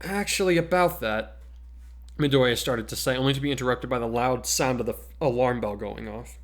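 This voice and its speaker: A man's voice: mid-range voice, little pitch movement, quick pace. A man narrating a story aloud as a dramatic reader of fiction.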